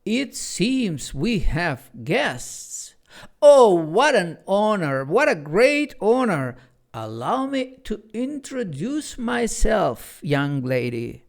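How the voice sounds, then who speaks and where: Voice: medium pitch, creaky, pitched above the performer's own voice, full character voice. A storyteller voicing a pompous, theatrical male clock spirit who speaks with an affected lisp.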